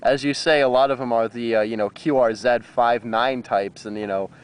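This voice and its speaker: A man's voice: medium pitch, fairly even intonation, normal speed, clean tone, low-quality recording. An American man chatting casually as an amateur radio operator, with a hesitant, rambling manner. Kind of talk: casual radio contact chat.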